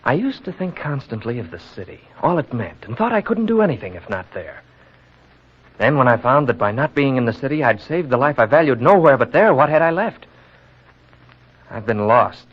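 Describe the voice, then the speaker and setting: A man playing an earnest, gentle young countryman in a 1940s radio drama. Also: medium pitch, very animated, normal speed. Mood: wistful. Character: lonely, friendly young countryman who makes toys. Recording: muffled, hissy old radio recording.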